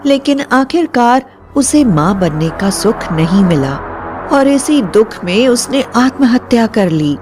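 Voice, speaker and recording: A woman's voice: on the high side, sing-song, slow pace. A woman narrating a scripted horror story as a storyteller. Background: music.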